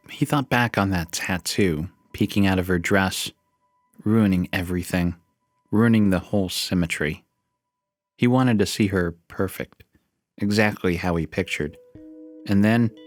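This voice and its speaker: A man's voice: sits low, dry recording. A man reading as narrator in a scripted podcast style. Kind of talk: scripted dark story narration and podcast promo read.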